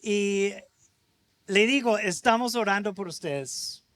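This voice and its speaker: A middle-aged American man, a native English speaker, preaching as a guest in halting Spanish with frequent restarts. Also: high-pitched, fairly bright tone. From United States. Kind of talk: sermon.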